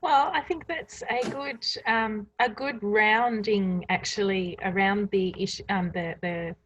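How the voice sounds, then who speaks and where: A woman's voice: mid-range voice, steady tone, measured pace, a bit bright, breathy edge, lo-fi sound. A woman hosting a casual, reflective conversation. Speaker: podcast host.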